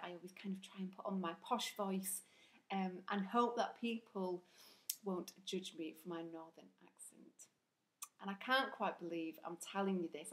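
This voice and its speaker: A woman from Northern England with a broad Northern English accent, talking earnestly to camera in a chatty vlog with frequent ums. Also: mid-range voice. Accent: broad Northern English, Rochdale and South Yorkshire mix. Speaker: vlogger. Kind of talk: casual talk to camera.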